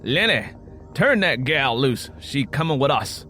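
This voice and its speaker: A man's voice: mid-range voice, sing-song, measured pace, sharp tone, faint music behind. A man voicing a domineering, menacing villain with a Southern US accent, commanding and sneering in an audio story.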